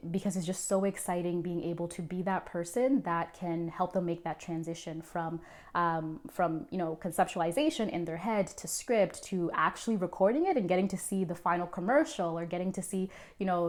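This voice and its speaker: A woman's voice: medium pitch, darkish tone. A young woman from Zimbabwe who is a founder and creative director, telling her personal story in an earnest, reflective way with frequent ums. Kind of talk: personal story told to camera.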